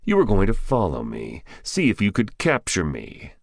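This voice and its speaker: A cold armed man from an audiobook thriller, voiced by a male narrator, speaks very low and close in a dry space. His manner is curt and deliberate, full of threat. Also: huge pitch swings, gravelly, no echo, exaggerated voice. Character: cold, menacing armed man posing as drug buyer. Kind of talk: audiobook character dialogue.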